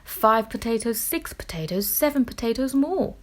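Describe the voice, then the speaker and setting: A woman reading a children's picture book aloud in expressive, deliberate narration with dramatic phrasing. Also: a little high. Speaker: children's story reader.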